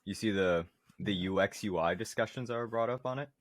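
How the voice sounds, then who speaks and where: A man's voice: on the low side, fairly quick, no echo. A man co-hosting a podcast, talking casually in an informal, slangy way. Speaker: podcast co-host. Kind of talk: casual gaming podcast chat.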